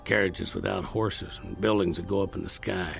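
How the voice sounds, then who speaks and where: An actor playing a hard, defiant Old West outlaw in a radio drama, with an American Western drawl and plain frontier talk. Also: male voice, low voice, steady tone, moderate pace, vocal fry, fairly bright tone, faint music behind, full character voice. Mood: curious, wary.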